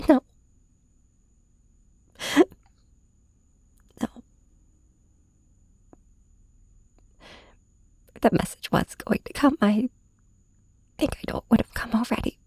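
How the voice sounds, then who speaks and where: A woman playing a weary, heartbroken hero confiding in her nemesis, hesitant and soft-spoken in an intimate ASMR audio drama. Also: medium pitch, dry recording. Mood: hurt, bitter. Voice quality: breathy, whispery.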